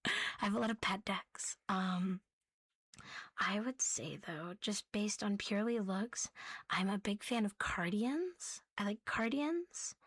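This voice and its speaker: Breathy, playful woman doing soft ASMR. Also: mid-range voice, slow pace, dry recording, clean studio sound. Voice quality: soft, breathy, often slipping into whisper. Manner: playful, cutesy catgirl persona, talking to viewers. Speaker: ASMR host. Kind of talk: soft ASMR rambling show-and-tell.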